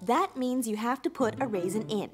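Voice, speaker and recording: A woman playing a kind, playful mother in a preschool cartoon, gently teasing and explaining things to children. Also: on the high side, normal speed, over music, natural voice.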